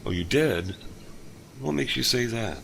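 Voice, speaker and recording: A gravelly grieving father. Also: mid-range voice, animated, a little slow, fairly bright tone, a little hiss or hum. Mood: probing. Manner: gruff, questioning, breaks into anguished outbursts. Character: grieving older father haunted by his dead son. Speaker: narrator and lead character. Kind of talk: radio drama acting.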